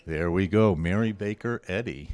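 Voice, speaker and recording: A man with a fairly low voice hosts a guessing quiz for a group. He reads quotations out deliberately and adds informal, conversational commentary as he goes. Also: darkish tone. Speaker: presenter of a quote guessing quiz.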